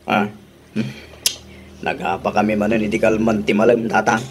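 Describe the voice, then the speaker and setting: An actor playing a thrifty, exasperated husband in a radio drama, grumbling reproachfully with an Ilocano accent. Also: male voice, lowish voice, monotone, normal speed, slight background noise, close to an everyday voice. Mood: respectful, complaining.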